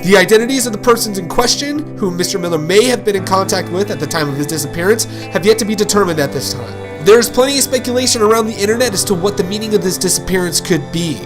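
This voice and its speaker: A formal breaking-news anchor, played by a man, with a fairly high voice over music. He delivers a scripted bulletin in measured, official phrasing for an audio drama. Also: expressive. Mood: formal, urgent.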